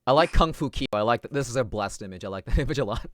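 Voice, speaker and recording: A woman's voice: a bass voice, lively intonation, rapid-fire, dry recording, clean studio sound. A woman voicing a casual, teasing anime youth in a comic dub, laid-back and wry. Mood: amused.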